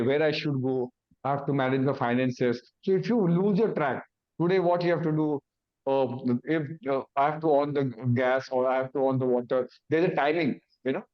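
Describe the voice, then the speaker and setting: A man's voice: medium pitch, brisk, muffled recording. A man with an Indian English accent, a native Hindi speaker, giving an awareness talk in simple, repeated phrases. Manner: explains in simple repeated phrases, acting out confused thoughts. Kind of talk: awareness talk with everyday examples.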